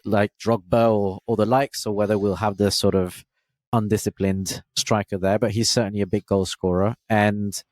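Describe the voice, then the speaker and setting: Low-voiced male football pundit, dry sound. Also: level tone, moderate pace, dry recording. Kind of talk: podcast panel discussion.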